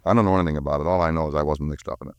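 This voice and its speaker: An actor playing an evasive, cocky American small-time crook, slangy and defensive, in a 1950s radio crime drama. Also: male voice, extremely low pitch, fairly even intonation, very fast, smooth, no room sound. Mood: defensive, nervous.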